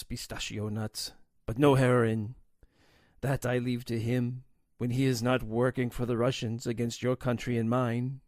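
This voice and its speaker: A man's voice: medium pitch, darkish tone, dry recording, clean studio sound, natural voice. A man playing a boastful, hearty older Greek smuggler boss in an audio drama. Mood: menacing, frank. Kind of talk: audio drama character acting.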